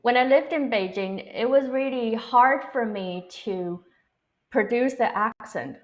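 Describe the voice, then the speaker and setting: A woman's voice: on the high side, unhurried, highs cut off. A woman from Taiwan, a native Mandarin speaker, chatting casually on a livestream in English with an American-influenced Taiwanese accent. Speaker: language teacher co-hosting a livestream. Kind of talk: casual live-stream discussion.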